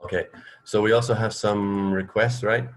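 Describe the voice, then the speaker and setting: A man with a low voice hosts a relaxed, conversational radio show. He feels his way through explanations, rambling a little and pausing to find the next phrase. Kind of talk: casual co-hosted radio chat.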